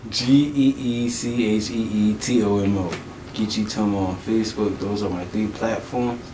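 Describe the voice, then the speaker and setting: Casting: laid-back man, lower voice, echoey room with faint hiss, interview guest. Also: steady tone, normal speed, dark tone. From South Carolina, US. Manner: laid-back, says "you feel me" a lot. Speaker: musician guest. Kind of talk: casual interview chat.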